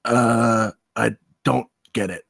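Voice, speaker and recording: A man playing a vain, insecure Bigfoot with a raspy, gravelly voice in a comedic table read. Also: medium pitch, steady tone, takes it slowly, a bit bright, heavily acted. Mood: defensive, indignant. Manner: needy, dramatic, swinging from sulky to indignant. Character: vain, insecure, excitable male Bigfoot, amateur movie star.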